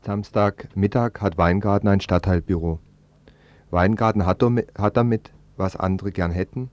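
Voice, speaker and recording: A man's voice: low voice, fairly even intonation, moderate pace, darkish tone, no room sound. A man delivering a scripted news report as a local radio reporter. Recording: slightly dull lo-fi radio recording.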